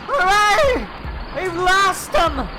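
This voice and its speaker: An actor playing an excitable, easily frightened companion of a tank engine in an amateur audio drama, urgent and exclamatory. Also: very high voice, very slow, heavy background noise, heavily acted. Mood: relieved.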